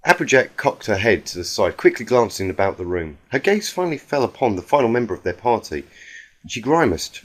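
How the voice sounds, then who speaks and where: A man reading a fan fiction story aloud in an earnest, expressive, dramatic amateur narration. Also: fairly low pitch, breathy edge.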